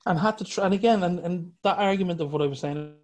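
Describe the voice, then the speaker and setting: Man hosting a podcast, fairly high voice, measured and agreeable. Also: a little mellow. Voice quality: higher singing voice. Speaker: podcast host. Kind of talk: casual music review chat.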